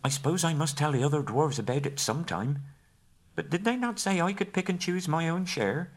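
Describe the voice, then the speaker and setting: A man reading aloud and voicing a timid, fussy male hobbit with a high, fretful voice that grows breathless when he is scared. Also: animated, brisk. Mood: sly, pleased.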